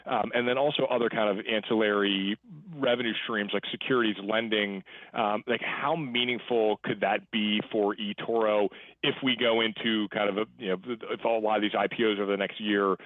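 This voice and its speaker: Over a narrow, dry phone line, a man with a lowish voice asks analyst questions. His phrasing is informal, tentative and rambling. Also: fairly even intonation, a little fast, no room sound. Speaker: financial analyst asking questions. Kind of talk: informal earnings call questioning.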